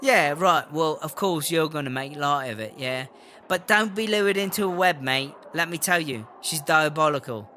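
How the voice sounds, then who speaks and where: A woman's voice: sits low, normal speed, pushed and bright, a little hiss or hum. A woman playing a brash, cheeky British inmate in a comedic audio drama, telling slangy, rambling gossip. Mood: indignant. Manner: slangy, rambling, punctuated with "yeah?".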